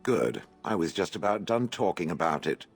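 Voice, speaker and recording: An ancient stone golem from a video game, with a low, raspy voice that rises and falls expressively. It sounds grumpy and haughty, full of dry scorn for soft humans. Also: male voice, animated, normal speed, faint music behind. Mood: curt, mocking. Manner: sardonic, haughty, blunt, dry. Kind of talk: video game dialogue acting.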